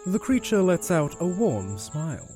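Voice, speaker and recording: A man's voice: a little high, big pitch swings, a little slow. Male storyteller with music underneath. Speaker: narrator. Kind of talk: scripted story narration.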